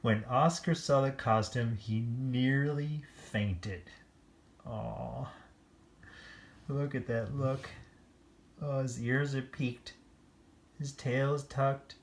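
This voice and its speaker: A children's story-time reader giving an animated picture-book read-aloud, playful, with chatty asides and exclamations. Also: medium pitch, very slow, pauses often.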